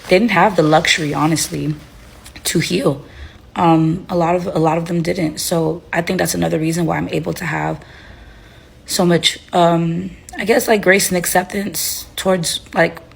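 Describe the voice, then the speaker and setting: A young life coach from Haiti speaks in a low, gravelly voice that stays fairly flat. She sounds tired and under the weather with a headache, and gives reflective, unhurried advice full of fillers and restarts. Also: rough recording. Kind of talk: casual talking-to-camera advice.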